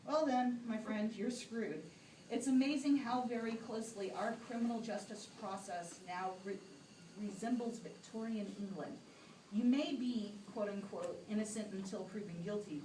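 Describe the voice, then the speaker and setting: A woman's voice: mid-range voice, moderate pace, a little mellow, reverberant, far from the mic, slight background noise, natural voice, low-quality recording. A woman voicing a knowing, cynical ghost who explains things matter-of-factly in a puppet show. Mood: knowing, resigned. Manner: lecturing, explanatory.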